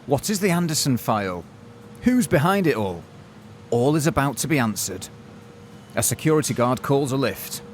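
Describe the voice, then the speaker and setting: A man's voice: medium pitch, sing-song, moderate pace, pauses often, slight background noise, natural voice. A man delivering scripted narration for a comic audio drama.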